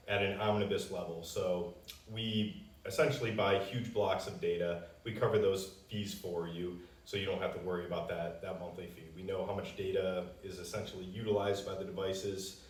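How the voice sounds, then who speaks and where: Low-voiced American man, echoey room. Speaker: company representative answering customer questions. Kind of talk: casual explanatory Q&A. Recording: roomy echo, distant microphone.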